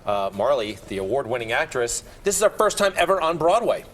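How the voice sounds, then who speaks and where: A man's voice: mid-range voice, sing-song, brisk, fairly bright tone. A man hosting a morning TV show, asking jokey, playful interview questions in a studio. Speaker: morning TV host.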